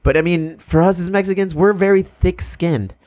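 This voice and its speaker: A man hosting a podcast in casual chat, swearing freely and acting out quotes in animated stories, in a room above a brewery. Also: sits high, normal speed. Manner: profane, animated storytelling with voiced quotes. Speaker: podcast host.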